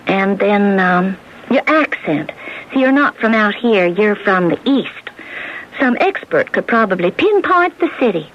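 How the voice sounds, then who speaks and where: A woman's voice: medium pitch, animated, a little slow. A woman acting in a radio drama as a kind, shrewd American lunch counter owner, frank and down-to-earth. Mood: curious, probing. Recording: muffled old radio broadcast.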